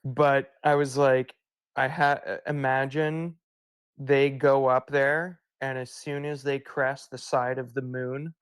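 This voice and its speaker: Young man, podcast co-host, tipsy, rambling, mid pitch, dry close mic. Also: flat delivery, slow, clean tone, dry recording. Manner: rambling, laughs often, tipsy. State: tipsy from beer. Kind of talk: casual chat.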